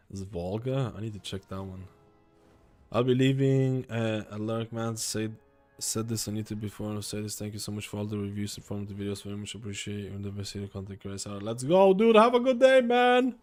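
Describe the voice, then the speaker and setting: A man's voice: fairly low pitch, darkish tone. A young man giving casual, joking gameplay commentary, rambling and swearing as he plays. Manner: rambling, joking, swears and says bro a lot. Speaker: game streamer.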